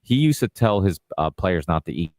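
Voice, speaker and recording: A man from northern Portugal hosts a podcast over a video call. His voice is very deep and the sound is dry and close. He chats in an easygoing way with plenty of filler words. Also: sing-song, moderate pace, a little mellow, no echo. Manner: easygoing, chatty, many fillers. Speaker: podcast host. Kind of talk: casual interview chat.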